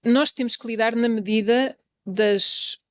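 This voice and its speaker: A woman's voice: a little high, fairly even intonation, a little slow. A woman, a journalist and co-founder of an association, talking earnestly in a casual panel conversation, with many fillers as she thinks aloud.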